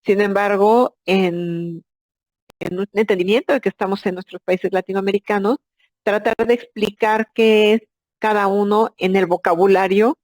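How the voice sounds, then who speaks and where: A woman's voice: medium pitch, a little mellow, no room sound, rough recording. A woman, an architect, giving an explanatory masterclass lecture and asking herself rhetorical questions. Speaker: architect and instructor.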